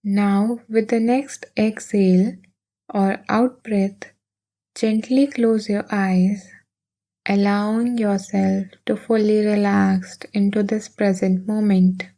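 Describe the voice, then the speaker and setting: Lady doing a guided meditation, really slow, mellow n soothing, long pauses. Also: medium pitch, flat delivery.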